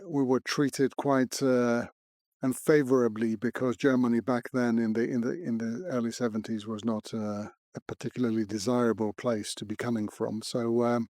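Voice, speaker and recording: A man's voice: medium pitch, a little mellow, no echo, studio quality. Hesitant male podcast host. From Greece. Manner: hesitant, many fillers. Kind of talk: conversational interview.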